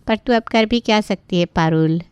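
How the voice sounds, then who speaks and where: A woman's voice: mid-range voice, normal speed, darkish tone, smooth, no room sound, natural voice. A woman voicing an older gossipy friend in a cartoon story, blunt, knowing and chiding. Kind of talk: animated moral story dialogue.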